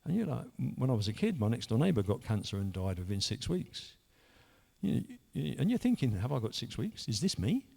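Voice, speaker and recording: A man's voice: mid-range voice, big pitch swings, brisk, vocal fry, warm tone, slightly rough. A man, earnestly telling his own story in a rambling way, full of restarts. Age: 50s. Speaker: church member sharing testimony. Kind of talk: personal testimony.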